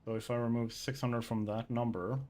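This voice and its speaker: A man's voice: mid-range voice, little pitch movement, brisk, a little mellow. A man from Sweden with a Swedish accent, chatting casually on a game livestream, thinking aloud and reading chat. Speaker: streamer.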